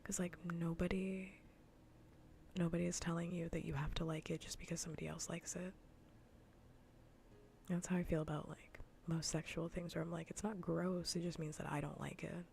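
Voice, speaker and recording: A woman streaming in a soft, breathy, often whispered ASMR voice, chatting informally and playfully close to the mic. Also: on the low side, flat delivery, normal speed, slight background noise. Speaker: streamer hosting a live cozy gaming broadcast. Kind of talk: soft-spoken ASMR casual chat. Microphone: close ASMR microphone.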